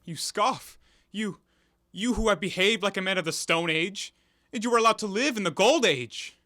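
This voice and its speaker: Audio drama: male actor for a resentful, wheedling male ex-convict forger; sharp bright voice, theatrical delivery, very dry sound. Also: high voice, no room sound. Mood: bitter, accusing. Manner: theatrical, insinuating, deliberate. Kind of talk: audio play acting.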